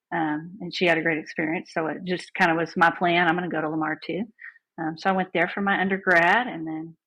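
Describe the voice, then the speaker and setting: A woman. Her voice is husky and a little breathy, and sits on the low side. She talks as a chatty, upbeat guest recounting her own life. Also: a bit bright, rough recording. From Southeast Texas. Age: 40s. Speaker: guest band director. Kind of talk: casual podcast interview.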